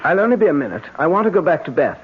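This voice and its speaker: Proper gentleman husband, muffled radio. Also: a little high, very animated, racing, noisy background. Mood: concerned. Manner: courteous, formal, smooth-mannered. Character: worried, attentive English gentleman husband, politely proper. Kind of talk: 1970s radio drama acting. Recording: muffled 1970s radio drama recording.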